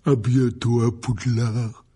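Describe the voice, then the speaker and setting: Gruff storybook giant played by a male narrator: dark, very husky, protective, grumbling and blunt. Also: mid-range voice, flat delivery, slow. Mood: warm, slow.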